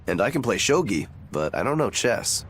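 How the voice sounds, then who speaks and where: A man's voice: lowish voice, very animated, normal speed, a bit bright, a little hiss or hum. A man playing a casual, competitive, easygoing fighter in a video game. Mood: keen, easygoing.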